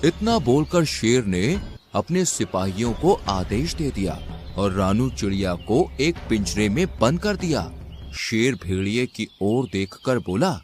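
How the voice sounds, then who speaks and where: A man's voice: fairly low pitch, sing-song, unhurried, darkish tone, soft background music. A man narrating a scripted animal fable as a storyteller for a cartoon.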